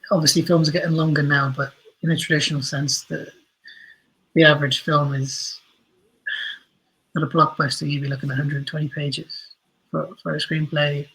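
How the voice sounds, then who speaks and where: A man with a husky, fairly high voice speaks as an interview guest. His intonation stays very even. He talks conversationally, reworking his phrases as he goes while giving his opinions. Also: low-quality recording. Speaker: interview guest, writer for audio and screen.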